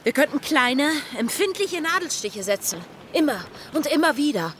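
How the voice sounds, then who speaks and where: Bold, high-voiced female pirate. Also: sing-song, moderate pace, a bit bright, slight background noise. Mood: defiant, urgent. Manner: challenging, insistent. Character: bold, defiant female pirate plotting mutiny, fearless. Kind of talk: German audio drama acting.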